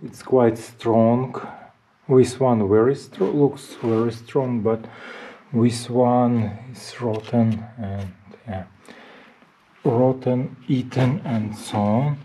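Guy in his 30s talking slow into a handheld cam in an old farmhouse, mellow voice. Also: mid-range voice, steady tone, slight background noise. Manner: plain, halting, stops to point out details. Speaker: home renovator. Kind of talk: casual explaining to camera. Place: inside an old brick farmhouse under renovation. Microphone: action camera held at arm's length.

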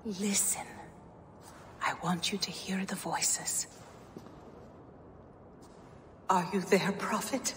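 An actress playing a solemn, reclusive giantess seer in a video game, speaking in a breathy, mystical manner. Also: female voice, medium pitch, fairly even intonation, slow pace, a bit bright. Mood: searching. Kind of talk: scripted video game dialogue. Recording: long cavernous reverb, faint ambient noise.

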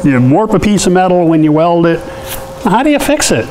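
A man's voice: high voice, sing-song, fairly quick, a little mellow, a little hiss or hum, low-quality recording. A man in his fifties giving a casual hands-on workshop tutorial in a folksy, conversational way. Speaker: artist and welding tips host. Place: indoor cluttered metal workshop.

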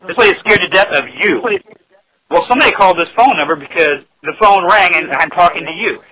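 A man's voice: high voice, lively intonation, a little fast, sharp tone, husky. A man speaking brusquely as a police officer on a telephone call.

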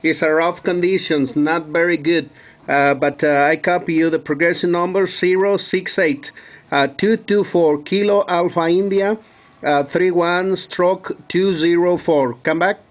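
A man's voice: high-pitched, steady tone, a little slow. A man from Mexico speaking as a radio operator, courteously repeating details and call signs.

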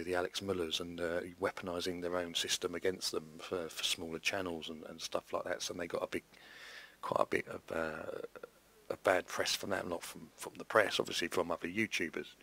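Middle-aged YouTuber, low gravelly voice gone hoarse, grumbling casual commentary. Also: male voice, a bit bright. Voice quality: gravelly, husky. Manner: rambling, exasperated, many restarts. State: hoarse, recovering from flu. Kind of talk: casual screen-recorded commentary.

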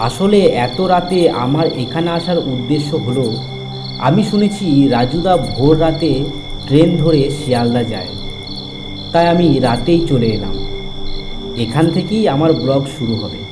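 A man's voice: on the high side, animated, moderate pace, pauses often, music in the background, lightly acted, rough recording. A man narrating a first-person ghost story as a young vlogger, in a scripted storytelling style. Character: young male vlogger telling his ghostly tale. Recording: night insect ambience over voice.

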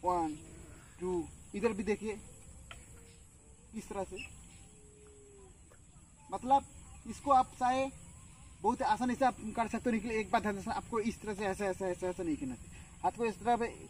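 A man's voice: sits high, measured pace, pauses often. A young man in his twenties demonstrating a home workout in a field, counting reps aloud while he lifts, with many fillers. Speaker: fitness instructor. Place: open farm field outdoors. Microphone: camera at a distance. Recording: distant outdoor camera audio, faint noise.

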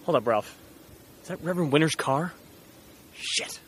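A man's voice: a little high, expressive, moderate pace, lightly acted. A man playing an earnest, easily rattled teenage boy in an audio drama, speaking in a casual, slangy way. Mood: irritated. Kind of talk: audio drama acting and first-person recounting. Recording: faint background noise.

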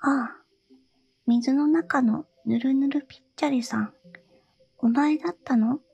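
A woman voicing a tearful, pleading young princess in a soft whisper for a storybook reading. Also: high voice, a little slow, gravelly, slightly rough, pitched above the performer's own voice, exaggerated voice.

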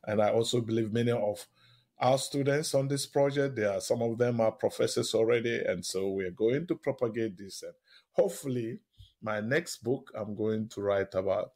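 A man with a slightly husky voice, a professor explaining his work as an interview guest in emphatic short phrases and rhetorical questions. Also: fairly low pitch.

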